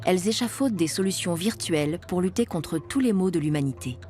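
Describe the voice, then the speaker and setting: Low-voiced woman narrating a documentary. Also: expressive, brisk, warm tone. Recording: music bed under voice.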